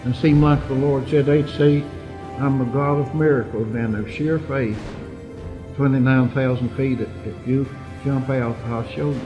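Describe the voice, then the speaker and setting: A man's voice: medium pitch, low-quality recording. Older man telling his war story over music. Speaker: war veteran. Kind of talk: personal testimony storytelling. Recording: music under the voice.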